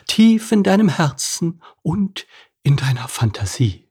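A man voicing a dreamy, motherly female crayfish in a storybook reading, sing-song and quick to scold. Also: on the high side, very animated, dark tone, husky, pitched above the performer's own voice, full character voice.

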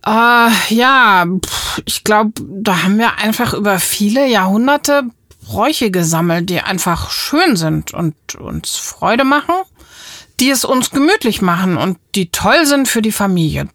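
A woman in a children's audio play conversation, explaining things simply with thoughtful pauses. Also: mid-range voice, expressive, a bit bright, no echo, clean studio sound. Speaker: presenter talking with a child character.

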